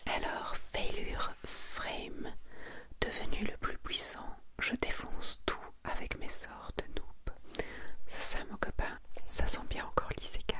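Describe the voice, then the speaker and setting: Lady doing asmr, all whispered, breathy n soft, chatty little asides. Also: slow pace. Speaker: host of an ASMR manga collection video. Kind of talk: whispered ASMR presentation, reading summaries and commenting.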